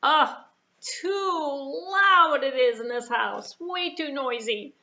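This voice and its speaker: A woman voicing an exasperated male farmer in a puppet show, whiny and hesitant, with drawn-out complaints and flustered stammering. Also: high-pitched, animated, sharp tone, muffled recording, pitched above the performer's own voice, heavily acted.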